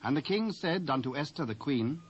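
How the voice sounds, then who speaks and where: A British man narrating scripture in a formal, measured, dramatised style. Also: fairly high pitch, expressive, husky. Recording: dull lo-fi cassette transfer.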